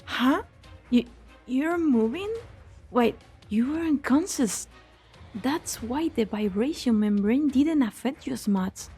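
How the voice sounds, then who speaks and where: Female fan-dub actor as young quick-thinking researcher, high voice, questioning, expressive, faint music. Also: slightly rough, heavily acted. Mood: puzzled, urgent. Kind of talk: amateur fan-dub acting.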